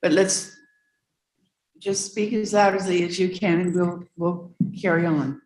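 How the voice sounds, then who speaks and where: A woman's voice: fairly low pitch, fairly even intonation, unhurried, a little mellow, reverberant, a little hiss or hum, poor audio. A woman chairing a remote meeting who speaks in a direct, businesslike way. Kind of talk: presiding over a remote meeting.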